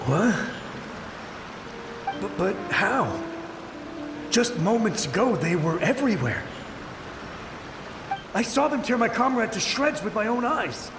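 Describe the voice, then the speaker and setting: The spirit of a fallen samurai in a fantasy game. His high voice swings widely with emotion, halting and reverent. It echoes, with music behind it. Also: sing-song, full character voice. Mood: bewildered, shaken. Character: ghostly memory of a distraught, devoted male samurai soldier. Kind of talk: dramatic video game voice acting.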